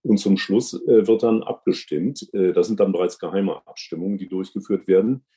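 A man's voice: low-pitched, steady tone, moderate pace, dark tone, breathy edge. A man speaking as an interview guest, explaining a process carefully, step by step. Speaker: interview guest, jury member.

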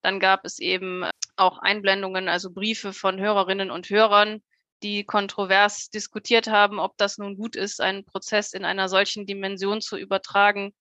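A woman's voice: mid-range voice, little pitch movement, normal speed, sharp tone, dry recording. A young woman talking conversationally as an interview guest, in a talkative, emphatic way. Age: young adult. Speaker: radio-trained journalist, jury member as podcast guest. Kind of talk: conversational interview, opinion and anecdote.

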